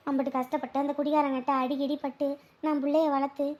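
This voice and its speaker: Woman doing a bitter old mother-in-law, grumbling on and on, voice pitched way up high. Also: level tone, quick pace, darkish tone, lightly acted. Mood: aggrieved. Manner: grumbling, complaining.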